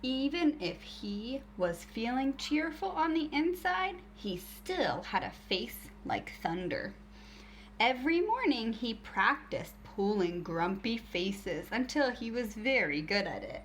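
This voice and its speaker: A woman teacher reading a picture book aloud to young children in an expressive, deliberate storytelling way. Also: a little high, a little hiss or hum, low-quality recording.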